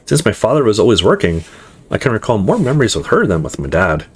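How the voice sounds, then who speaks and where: A man with a slightly husky voice reading a visual novel story aloud in an expressive first-person narration. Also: on the low side, fast talker.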